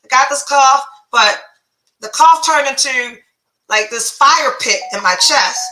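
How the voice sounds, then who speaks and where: A woman's voice: sits high, unhurried, pushed and bright, echoey room, low-quality recording. A woman podcast host telling a story in a casual monologue, blunt and profane, sounding congested with phlegm.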